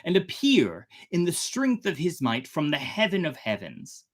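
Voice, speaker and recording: A storytelling host chatting casually on a livestream in an expressive, slightly theatrical manner. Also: mid-range voice, measured pace.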